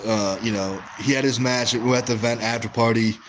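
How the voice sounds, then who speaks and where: A man's voice: medium pitch, fairly even intonation, fairly quick, background noise. A young American man hosting a casual livestream, reading chat and rambling through stories with lots of fillers and restarts. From West Coast of the US.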